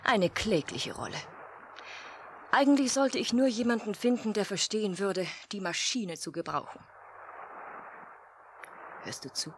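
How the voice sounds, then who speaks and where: Hushed, intense actress as a persuasive woman. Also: medium pitch, animated, a little slow, pauses often, a little hiss or hum, close to an everyday voice. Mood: wistful. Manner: intimate, often hushed and breathy, slipping into whispers. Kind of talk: 1980 German radio drama acting.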